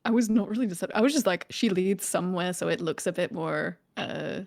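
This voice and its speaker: A woman playing a tabletop role-playing game, describing her actions in casual, hesitant, soft-spoken chat. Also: lowish voice, fairly quick, vocal fry.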